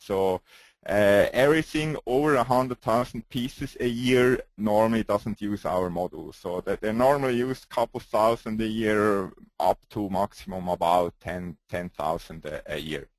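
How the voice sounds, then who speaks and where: A man with a strong Swiss accent presenting a company webinar, pausing often with "uh" fillers. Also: lowish voice, dry recording. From Switzerland. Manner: hesitant, frequent "uh" fillers, non-native phrasing.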